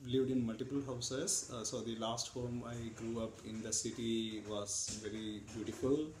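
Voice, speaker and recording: A man from India, a father answering his child's interview questions in a relaxed, thoughtful way with many pauses and fillers. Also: medium pitch, echoey room, slight background noise. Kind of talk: casual family interview answers.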